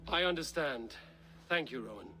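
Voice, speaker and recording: An actor playing a calm, authoritative Viking leader in a video game, speaking in a measured, commanding way. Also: male voice, mid-range voice, soft background music. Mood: firm, measured. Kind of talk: dramatic video game acting.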